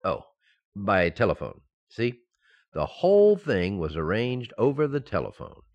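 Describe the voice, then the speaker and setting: An actor playing an eager, playful young husband in an old-time radio sitcom, boyish and excitable, on a dull recording. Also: male voice, on the low side, unhurried, full character voice. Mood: relieved, eager.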